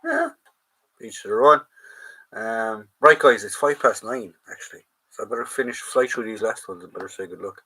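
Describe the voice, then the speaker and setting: A man's voice: lowish voice, very animated, normal speed, sharp tone, slightly rough, low-quality recording. An Irish man hosting a live chat, casually reading out and answering viewer comments, trailing off and restarting.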